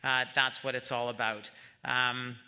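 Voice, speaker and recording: A man with a very bright, forceful voice delivers a closing speech to conference delegates. He speaks as the host, urging his listeners on, and often halts and hesitates between phrases. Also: mid-range voice, flat delivery. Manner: hesitant, halting, rallying the audience. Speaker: summit host giving closing remarks.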